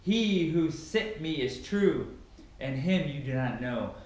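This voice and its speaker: A man voicing a solemn male preacher addressing a crowd, slow and emphatic, recorded in an echoey bare room from a far camera. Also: on the high side, animated, darkish tone.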